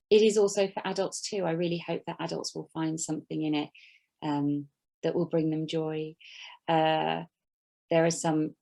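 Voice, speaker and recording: A woman's voice: deep voice, gravelly, mellow. A woman author talking thoughtfully about her poetry collection, hesitant with many fillers.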